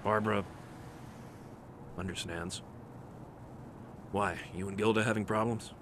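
An actor playing a steady, principled police captain in a noir radio drama, gravelly, measured and earnest. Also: male voice, on the low side, noisy background, lightly acted. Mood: dry, calm.

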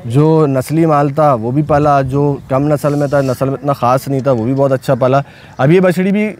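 A man's voice: mid-range voice, normal speed, a little mellow, slight background noise. A young man with a Pakistani Urdu accent, a cattle farm owner chatting casually and enthusiastically from behind the camera outdoors. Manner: enthusiastic, repeats blessings often. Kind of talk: casual vlog narration.

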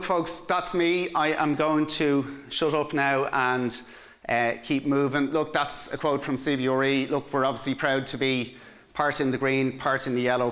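An Irish man in his forties wraps up his talk from a big conference stage, talking through a podium mic. His pitch sits mid-range. He gestures as he goes and trips over the odd word before pushing on. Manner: animated, gesturing, keeps saying "look" and restarting words. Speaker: conference speaker. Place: stage of a large conference hall.

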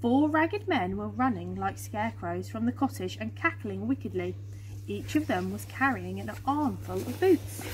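A woman's voice: medium pitch, animated, normal speed, rough recording. A woman in her thirties with an English accent, reading a children's picture book aloud. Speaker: storyteller reading to schoolchildren. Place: small fenced garden, outdoors. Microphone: camera or phone a short distance away. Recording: outdoor phone recording with background hum.

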